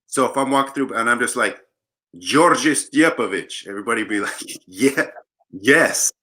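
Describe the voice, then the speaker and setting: A man's voice: mid-range voice, lively intonation, normal speed, bright, forceful tone. A man from Los Angeles, a guest musician, chatting casually on a livestream. He jokes playfully and tells long rambling stories. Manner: joking, playful, rambling storyteller. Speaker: guest bassist. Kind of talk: casual interview chat.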